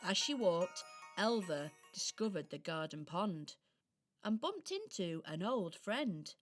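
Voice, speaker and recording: A woman's voice: on the low side, sing-song, takes it slowly, music in the background. A woman narrator reading a scripted children's story for a radio play.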